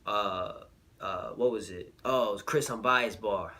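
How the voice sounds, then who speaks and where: A slightly sick man recapping a battle rap event in a relaxed vlog, with lots of fillers and slang. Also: on the low side, a little slow, husky. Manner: laid-back, filler-heavy slang. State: a little sick.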